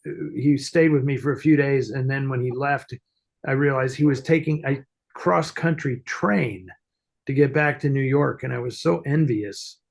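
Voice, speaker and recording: Male show host, mid-pitched with heavy vocal fry, halting and discursive, unscripted conversational style. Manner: halting, discursive, searches for words. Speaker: show host, film expert answering fan questions. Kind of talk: casual unscripted chat. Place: at home, upstairs room.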